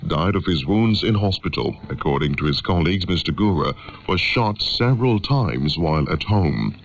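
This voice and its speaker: Deep-voiced male newsreader on muffled shortwave. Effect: shortwave radio. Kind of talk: scripted news bulletin reading. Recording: dull shortwave radio broadcast.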